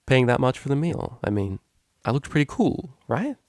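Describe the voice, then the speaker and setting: A man's voice: mid-range voice, big pitch swings, moderate pace, darkish tone, no room sound, clean studio sound, pitched above the performer's own voice. Man voicing a brash, slangy young mare DJ. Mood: playful, cocky. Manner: slangy, offhand, blunt. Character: brash, slangy young female unicorn DJ, cocky and flustered.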